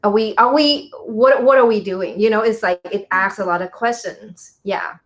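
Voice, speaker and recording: A woman's voice: mid-range voice, moderate pace. Earnest woman, English. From Thailand. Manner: earnest, animated, frequent fillers. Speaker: co-guest, spiritual teacher. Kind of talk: casual interview talk.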